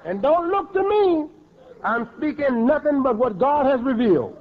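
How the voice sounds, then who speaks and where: A man preaching with a very high voice, pressing each point hard and circling back to repeat words. The sound is thin and tinny, like an old phone-line recording. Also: very animated, normal speed. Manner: emphatic, repeats and rephrases words. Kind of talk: sermon.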